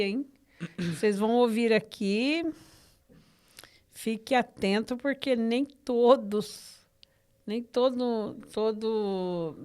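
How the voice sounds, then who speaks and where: Brazilian woman hosting, clear smooth voice. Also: a little high, darkish tone. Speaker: podcast host. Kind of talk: conversational podcast introduction of a guest. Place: living room at home.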